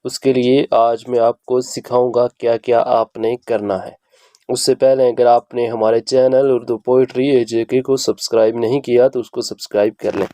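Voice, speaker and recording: A man's voice: medium pitch, level tone, normal speed, warm tone, dry recording. A man hosting a how-to tutorial, speaking instructionally and repeating each step as he goes. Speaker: video host giving an app tutorial.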